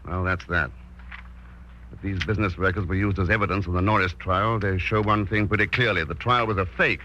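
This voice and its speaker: An actor playing a cool, laconic, determined investigator with a clipped, dry manner in a 1940s radio drama. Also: male voice, sits low, fairly even intonation, brisk, natural voice. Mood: brisk, determined. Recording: dull, noisy old radio recording.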